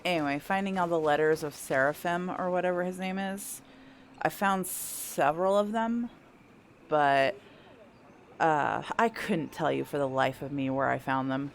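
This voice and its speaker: Low gravelly-voiced woman rambling over a game. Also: moderate pace, slight background noise. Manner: rambling, thinking aloud, mildly sweary. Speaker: gameplay streamer. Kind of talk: casual gaming commentary. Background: video game music and sounds.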